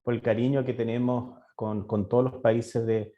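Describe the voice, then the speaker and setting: A man's voice: mid-range voice, level tone, normal speed, mellow. Hesitant Chilean man presenting online. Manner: hesitant, many fillers and restarts. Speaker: webinar speaker, university director. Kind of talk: webinar presentation. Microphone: video call. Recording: dull lo-fi online call audio.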